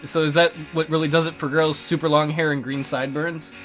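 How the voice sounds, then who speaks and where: A man's voice: a little high, flat delivery, a little fast, a bit bright, faint music behind. A man co-hosting a let's play, making teasing, irreverent, casual jokes about a visual novel.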